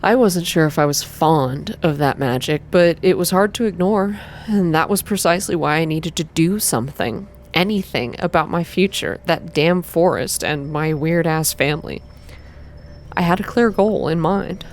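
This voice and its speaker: A woman reading a visual novel aloud as measured, unhurried ASMR narration. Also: low voice, a little hiss or hum. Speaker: ASMR reader and game player. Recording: music behind the voice. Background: background music.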